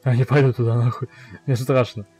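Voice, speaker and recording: A man giving casual, sweary let's play commentary on a fantasy game, excitable in the way he talks. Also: medium pitch, a little fast, husky, soft background music. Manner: foul-mouthed, excitable. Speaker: gamer playing a fantasy game.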